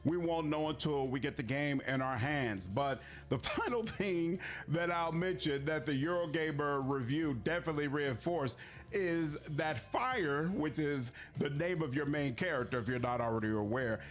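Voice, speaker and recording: A man giving conversational, explanatory opinion commentary as a video game reviewer, measured but emphatic on key words. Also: a little high, lively intonation.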